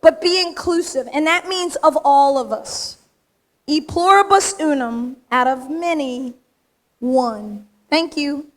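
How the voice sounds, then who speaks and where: A woman from the United States with a slightly raspy voice, giving an emphatic, straight-talking acceptance speech in front of a room of people. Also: sits high, slow pace, slight background noise. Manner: straight-talking, emphatic, punchy short phrases. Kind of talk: acceptance speech to a live audience. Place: large event room with audience.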